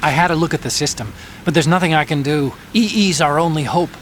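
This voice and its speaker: A man's voice: on the high side, fast, fairly bright tone, a little hiss or hum, lightly acted. A man playing an anxious, hesitant, emotional older brother in a dramatic video game cutscene. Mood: concerned. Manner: hesitant, agitated.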